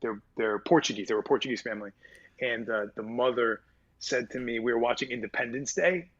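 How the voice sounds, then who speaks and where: A man's voice: medium pitch, brisk, fairly bright tone, lo-fi sound. A man speaking as a voice actor in a casual interview, with a mid-register voice, rambling through fillers and restarts and swearing casually. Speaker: voice actor guest.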